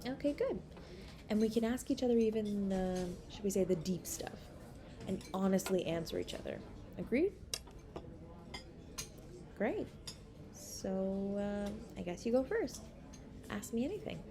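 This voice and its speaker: Slow-talking, coy young woman on a date, acted. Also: mid-range voice, animated, a crowd in the background, close to an everyday voice. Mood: playful, intrigued. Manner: teasing, coy, giggly, hesitant pauses. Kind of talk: romantic roleplay audio acting.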